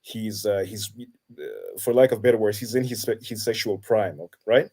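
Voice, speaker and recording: A man's voice: fairly low pitch, a little mellow, husky. Hesitant man in his thirties. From Kenya. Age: 30s. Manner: halting, many restarts and fillers. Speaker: guest. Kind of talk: casual conversation. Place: Denmark.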